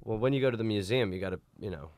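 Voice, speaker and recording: A man's voice: lowish voice, rapid-fire, a little mellow, dry recording, studio quality. A man hosting a comedy podcast in casual chat, jokey and sweary as he riffs. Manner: jokey, profane, riffing. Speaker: podcast host.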